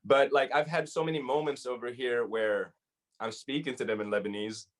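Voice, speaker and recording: A man's voice: medium pitch, steady tone, moderate pace. A man, a hip hop artist raised in the Philippines, Saudi Arabia and Syria, talking casually as a guest with slang and some Arabic phrases. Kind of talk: casual interview chat.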